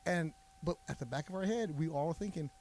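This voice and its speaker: Guy on sports radio breaking down bets and hoops, bit high voice, sure of himself. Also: fast talker, a little mellow. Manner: confident, explanatory, talks at length. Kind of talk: sports talk radio analysis. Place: radio studio. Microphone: studio mic.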